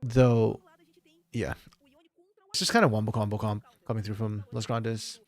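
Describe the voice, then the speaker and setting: A man with a slightly hoarse voice, reviewing a match on his own in a casual, think-aloud way with frequent restarts. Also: fairly low pitch, level tone, a little slow, a little mellow. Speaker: esports caster doing a solo VOD review. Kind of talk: casual solo game analysis commentary.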